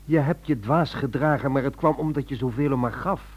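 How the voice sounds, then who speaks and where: An actor playing a preoccupied but compassionate helpline volunteer, hesitant and earnest, in a Dutch radio play. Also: male voice, mid-range voice, a little fast, husky, lightly acted. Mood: compassionate, probing. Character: preoccupied male crisis helper, hesitant yet compassionate.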